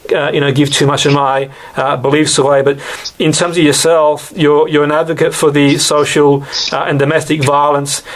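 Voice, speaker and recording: A man hosts a local radio sports show, winding through long questions with repeated words and filler. His voice sits a little higher than most men's. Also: little pitch movement, normal speed. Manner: rambling, hesitant, many repeated words and fillers. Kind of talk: radio interview.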